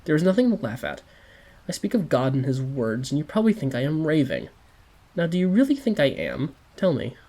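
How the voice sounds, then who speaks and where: A man's voice: fairly high pitch, wildly swooping pitch, a little fast, mellow, heavily acted. A man voicing a tormented, fervent believer. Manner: fervent, preaching intensity. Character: tormented devout man, brooding on death and sin.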